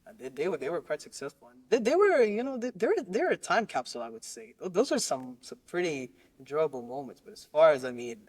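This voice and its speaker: A man from Lebanon who grew up in Qatar, talking casually as a podcast guest in a halting, rambling way, repeating words as he searches for phrasing. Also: fairly high pitch, very animated, darkish tone. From Lebanon, raised in Qatar. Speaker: musician interview guest.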